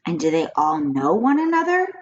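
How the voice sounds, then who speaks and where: A woman's voice: on the low side, wildly swooping pitch, moderate pace, dull audio. A woman voicing an anxious, curious young grandchild in a children's storybook, asking questions with wide-eyed eagerness. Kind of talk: children's storybook read-aloud.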